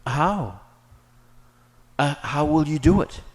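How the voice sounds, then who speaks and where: A man voicing an earnest, lovesick young gentleman in an audiobook, with naive, theatrical, old-fashioned phrasing. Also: fairly high pitch, lively intonation, slow, voice pushed up. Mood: hopeful, grateful. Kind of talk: audiobook character dialogue.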